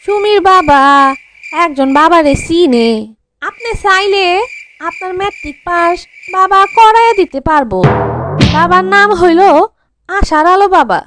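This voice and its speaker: A woman's voice: very high-pitched, animated, measured pace, long pauses. A woman voicing a poor, grumbling housemaid in a cartoon comedy, folksy and coaxing, with a Bangladeshi accent. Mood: coaxing, pleading. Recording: music bed behind voice.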